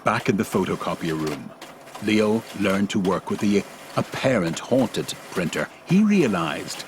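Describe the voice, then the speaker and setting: A man narrates a radio play in a fairly low voice. His pitch moves around in a lively way, and loud noise runs behind him. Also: measured pace.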